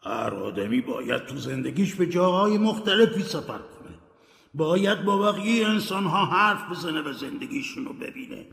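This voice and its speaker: A tormented old ghost dragging his chains, as a man puts him on, wailing his regrets. The voice sits raised and gravelly. It echoes through a roomy, reverberant space. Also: high voice, expressive, fairly bright tone, voice pushed up, full character voice. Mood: solemn. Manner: lamenting, declamatory. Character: anguished ghost of a dead old businessman.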